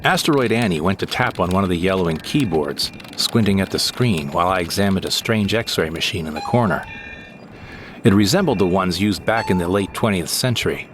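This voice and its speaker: Guy playing deep voiced wry sidekick narrator, sci-fi comedy audio drama, clear noise behind him. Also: lightly acted. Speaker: first-person narrator.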